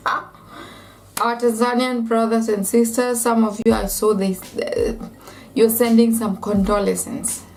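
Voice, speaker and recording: A woman's voice: medium pitch, vocal fry. A woman with a Kenyan accent ranting to camera as a vlogger, emphatic and repetitive, with lots of "like". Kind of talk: opinion rant to camera.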